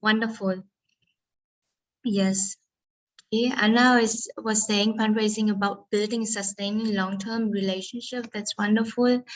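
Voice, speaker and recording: A woman's voice: medium pitch, steady tone, unhurried, poor audio. A woman from Vietnam presenting an online workshop as facilitator over a video call, hesitant with many ums and uhs.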